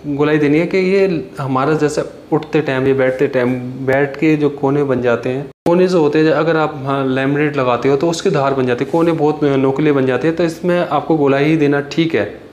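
A young man giving a plain, pause-filled walkthrough tutorial on furniture he built, filmed on a handheld phone inside a finished bedroom. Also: on the high side, steady tone, a little mellow. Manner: plain explanatory talk with frequent "jaise ki" and pauses. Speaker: carpenter showing his furniture work. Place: finished bedroom with hard floor and walls. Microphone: handheld phone camera. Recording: echoey room with faint ambient noise.

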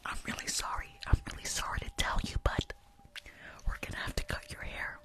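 A woman's voice: fairly quick, natural voice. Bossy whispering school nurse played by a woman. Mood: mock-apologetic. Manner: bossy, mock-reassuring, repetitive. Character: rude, unqualified female school nurse checking for lice. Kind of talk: whispered ASMR roleplay. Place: small indoor room.

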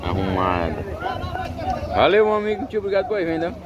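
A man's voice: fairly high pitch, huge pitch swings, brisk, highs cut off. A man with a Brazilian Northeastern accent chatting casually and colloquially as a vlogger, asking short questions and repeating answers. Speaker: vlogger and interviewer at a cattle fair. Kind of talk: casual chat, asking sellers prices. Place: outdoor cattle fair among wooden pens. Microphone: handheld phone camera. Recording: noisy outdoor cattle market.

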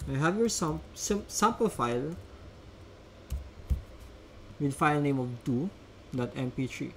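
A man's voice: on the high side, expressive, a little slow, fairly bright tone, a little hiss or hum. A man presenting a step-by-step instructional screencast as a tutorial narrator.

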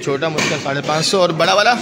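A man's voice: a little high, fast. A man with a Pakistani Urdu accent, filming a fish market on a handheld phone, explaining each fish and calling out to sellers for prices. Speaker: market vlogger. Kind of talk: walk-through market vlog. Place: busy indoor fish market. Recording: loud crowd noise behind. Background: loud market crowd.

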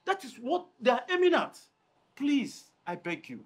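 An actor playing a married man who calmly pleads with his angry lover, speaking softly and repeating reassurances. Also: extremely high pitch, moderate pace, full character voice. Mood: pleading. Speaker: chief, mother's lover.

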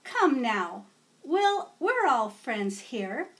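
Storybook role: kindly old schoolmistress played by a woman, slow, sing-song delivery, patient with little ones. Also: high-pitched, sing-song, a bit bright, heavily acted. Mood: gently soothing. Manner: sing-song, patient, addressing small children.

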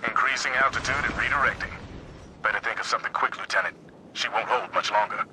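A man's voice: mid-range voice, fast, a little hiss or hum, rough recording. An actor playing a terse, dutiful male soldier in a war video game, talking in military radio style. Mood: grim, controlled. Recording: loud music and battle sounds.

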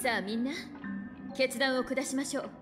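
An actress voices a thoughtful, mature woman from a game, composed and sure of herself. Her voice sits a little high, with music clearly playing behind it and a long reverberant tail. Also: steady tone, moderate pace, natural voice. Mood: resolute. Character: composed, thoughtful, mature female traveling companion. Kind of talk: Japanese game voice acting.

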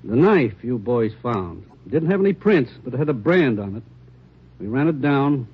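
An actor playing a laconic, matter-of-fact American police lab technician in an old radio drama, on a muffled recording. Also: male voice, medium pitch, lively intonation, moderate pace, breathy edge. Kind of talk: 1950s radio drama acting.

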